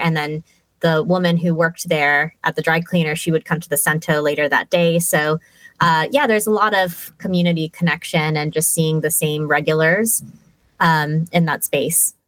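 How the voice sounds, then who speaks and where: A woman's voice: sits low, steady tone, moderate pace, a bit bright, no echo, poor audio. A woman who speaks English as her first language, a guest chatting in an interview and describing her family's old business in rich detail, with fillers and small laughs. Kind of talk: casual storytelling in an interview.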